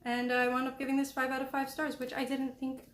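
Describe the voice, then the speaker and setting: A woman's voice: sits high, completely flat delivery, brisk, a little hiss or hum. A young woman chatting casually about books she read, rambling and self-deprecating, talking into a headphone mic at home. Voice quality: small, soft voice. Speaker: book vlogger. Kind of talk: casual book review chat. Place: home room. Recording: echoey room, cheap mic.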